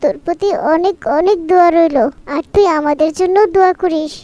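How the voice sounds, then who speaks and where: A woman's voice: very high-pitched, fairly even intonation, fairly quick, warm tone, no room sound, muffled recording. A woman voicing a young girl in a cartoon, tearful and pleading. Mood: sad farewell. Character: young girl Fatema, war victim, grieving. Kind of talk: cartoon voice acting.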